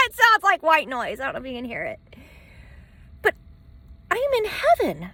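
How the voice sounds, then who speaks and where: A woman's voice: high-pitched, huge pitch swings, moderate pace, bright, forceful tone, slight background noise. A woman rambling through a personal vlog from inside a parked van, stopping and starting and repeating phrases for emphasis. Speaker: travel vlogger living in a van. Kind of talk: rambling personal vlog, talking to camera and to a dog. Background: faint ocean wash.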